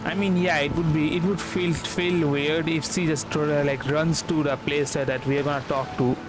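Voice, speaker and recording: A man with an Indian accent giving casual live game commentary, halting and repeating himself with many fillers. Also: fairly high pitch, steady tone, rough recording. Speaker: game streamer. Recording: stream with game music behind. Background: video game music.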